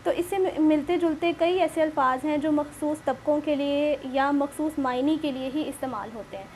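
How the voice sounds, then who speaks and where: A woman's voice: sits high, moderate pace, a little mellow. A woman hosting a discussion in formal, literary phrasing. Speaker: show host. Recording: clearly noisy room recording.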